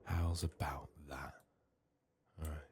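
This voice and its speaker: A soft-spoken man giving unhurried ASMR game commentary, musing to himself and sometimes slipping into a whisper. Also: extremely low pitch, level tone, a little hiss or hum. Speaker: gaming streamer, ASMR host.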